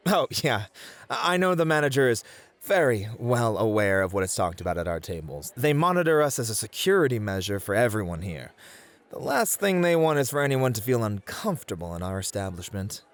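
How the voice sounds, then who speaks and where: A man's voice: lowish voice, sing-song, a little fast. A man playing a confident, charming bunny host at a club in a flirty ASMR roleplay, breezy and courteous with showy flourishes.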